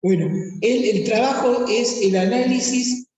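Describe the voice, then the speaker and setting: Mellow Argentine speaker in a reverberant hall. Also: mid-range voice, measured pace. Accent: Argentine Spanish. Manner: reflective, theorizing. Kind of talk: seminar discussion. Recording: very echoey hall, long reverb.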